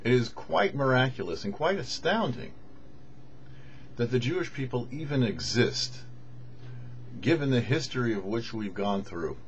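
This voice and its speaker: A male rabbi delivers a religious lesson in a mid-pitched voice with lively, swinging intonation. He phrases deliberately, leaning on key words and pausing between thoughts. The sound is slightly dull. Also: slight background noise. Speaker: rabbi, host of a weekly Torah show. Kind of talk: scripted religious teaching.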